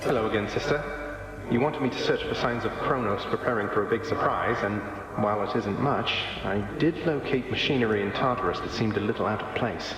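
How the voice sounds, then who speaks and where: An actor playing a young underworld prince in a video game, a wry, casual and rebellious brother who speaks in dry understatement, with music behind him. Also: male voice, fairly low pitch, fairly quick, lightly acted. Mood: thoughtful. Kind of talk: video game character dialogue. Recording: very echoey with long reverb, music behind.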